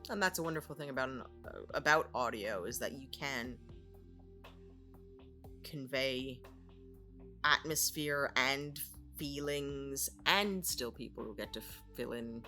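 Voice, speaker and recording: A woman's voice: extremely low pitch, a little slow, sharp tone, soft background music. A woman co-hosting a casual podcast discussion with a dry, playful wit and articulate, wordy phrasing. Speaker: podcast co-host and voice actor.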